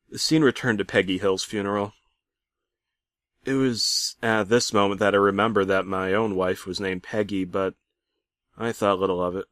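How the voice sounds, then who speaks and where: A man narrating a comic creepypasta theatrically, swinging between hushed and loud delivery. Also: lowish voice, dry recording, clean studio sound. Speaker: horror story narrator.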